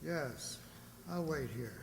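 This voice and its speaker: Gravelly and creaky, a man plays a frontier doctor in an echoing hall. He is grave, blunt and regretful, acted as radio drama. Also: fairly high pitch, takes it slowly. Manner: sombre, blunt. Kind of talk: radio play acting. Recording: reverberant hall-like room.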